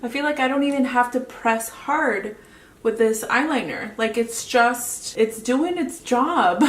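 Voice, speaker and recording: A woman's voice: a little high, unhurried. A woman with a Mexican accent chatting casually through a makeup tutorial, often trailing off mid-sentence. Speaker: beauty vlogger. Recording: echoey room, mic at a distance, faint hiss.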